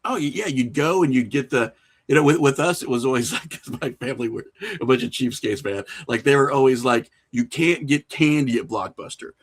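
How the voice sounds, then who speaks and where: Casual man telling animated anecdotes. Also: medium pitch, fairly quick. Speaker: podcast guest, filmmaker and horror creator. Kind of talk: casual podcast chat.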